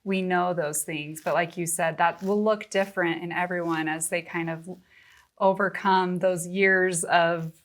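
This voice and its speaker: A woman's voice: lowish voice, fairly even intonation, a little slow, fairly bright tone. A woman moderating a panel discussion in a casual, conversational way.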